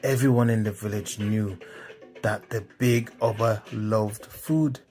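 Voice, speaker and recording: Male children's story narrator, lowish pitch, unhurried pace, light music bed. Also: fairly even intonation, a little mellow. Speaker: storybook reader.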